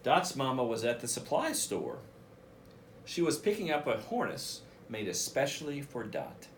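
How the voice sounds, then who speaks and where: Man, school principal, children's story reading, expressive, direct address to kids, animated intonation, faint noise behind. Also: medium pitch, normal speed. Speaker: school principal reading a picture book aloud. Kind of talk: read-aloud for children, casual asides.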